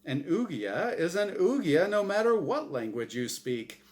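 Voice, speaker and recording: A man's voice: sing-song, measured pace, darkish tone. A man voicing a gleeful, smugly cheerful angel game-show host with a big announcer delivery, in a story reading. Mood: smug, teasing. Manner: booming, exaggerated announcer delivery.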